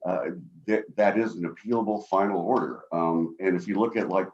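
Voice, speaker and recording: A man with a booming voice arguing an appeal as an attorney over a video call from home, halting and full of fillers. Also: a little mellow, highs cut off. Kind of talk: appellate oral argument. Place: home office.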